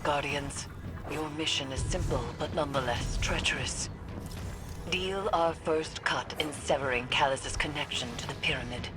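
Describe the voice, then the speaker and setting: An actress playing a grave, cryptic witch in a video game, speaking in a deliberate, incantatory manner. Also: low voice, close to an everyday voice. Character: grave female occult witch, solemn and cryptic. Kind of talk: dramatic video game acting. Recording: loud game music behind.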